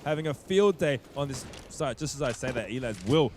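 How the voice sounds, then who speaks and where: A man doing rapid, excitable play-by-play commentary on a match. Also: medium pitch, background noise. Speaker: esports caster.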